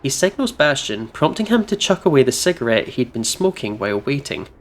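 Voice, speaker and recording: A man doing casual let's play commentary and reading narration aloud, expressive and playful in manner. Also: medium pitch, fairly quick. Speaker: gaming streamer reading aloud.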